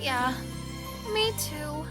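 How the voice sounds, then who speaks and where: A woman playing a flustered, bossy but soft-hearted teenage magical-girl leader in an anime parody drama. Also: high voice, lively intonation, extremely slow, heavily acted. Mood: awkward. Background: background music.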